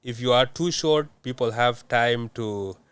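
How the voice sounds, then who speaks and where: A young man from South Sudan answering interview questions in a measured way, with many hesitant fillers. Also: mid-range voice, a bit bright, clean tone, no room sound. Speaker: guest.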